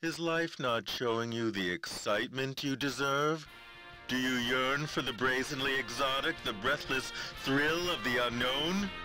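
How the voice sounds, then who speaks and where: An actor playing a grandiose old-time radio serial announcer, selling with theatrical salesmanship. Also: male voice, medium pitch, measured pace, close to an everyday voice, rough recording. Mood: grandiose hype. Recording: loud music under the voice. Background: music.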